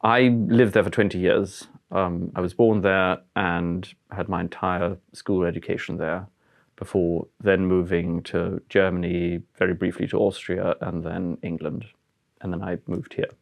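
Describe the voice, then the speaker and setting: A man's voice: deep voice, little pitch movement, a little slow, creaky. A man of about forty who grew up in France, a museum curator giving thoughtful, searching answers in an interview. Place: historic house, indoors.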